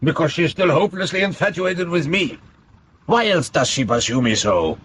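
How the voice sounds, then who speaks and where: A man's voice: a little high, lively intonation, moderate pace, a bit bright, breathy edge. An actor in a radio drama playing a vain, imperious European king, pompous, impatient and melodramatic. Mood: incredulous, vain. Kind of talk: BBC radio drama acting.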